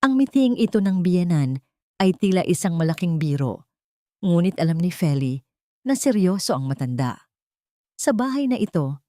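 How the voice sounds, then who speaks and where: Woman telling a dramatic story, kinda low voice, very little room sound. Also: a little mellow, no room sound, clean studio sound. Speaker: story narrator. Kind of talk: scripted dramatic narration.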